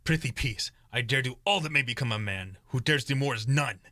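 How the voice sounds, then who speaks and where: A man's voice: medium pitch, brisk, sharp tone, dry recording, clean studio sound, lightly acted. A young man acting a troubled, ambitious nobleman who plots murder, in earnest Shakespearean verse for a student radio play. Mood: wavering, defensive. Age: young adult. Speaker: student actor.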